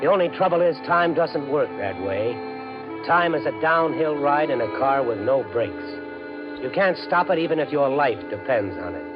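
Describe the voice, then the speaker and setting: A man's voice: fairly high pitch, animated, normal speed, lightly acted. Guy acting down on his luck american gambler, fifties radio drama, muffled old recording w music. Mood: tense, brooding. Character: desperate everyman gambler, a husband narrating his troubles. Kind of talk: 1950s radio drama acting, first-person narration. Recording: dull 1950s radio recording, music behind.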